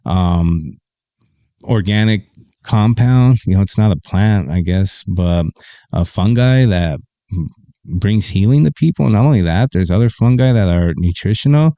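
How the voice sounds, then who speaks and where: A man with a deep, bassy voice, low in pitch with a dark, mellow and smooth tone. He hosts a podcast in a casual, laid-back way, airing firm opinions. He is recorded dry and close on a desk mic. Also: lively intonation, unhurried, no echo. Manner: laid-back, opinionated, reads aloud from a web page. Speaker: podcast host. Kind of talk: casual livestream talk.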